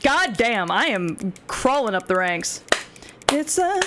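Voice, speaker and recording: A young woman with a deep, smoky voice, chatting and cursing casually while streaming a game. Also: sing-song, slow, noisy background. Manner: crude, swears, laughs, sings along. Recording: faint music behind.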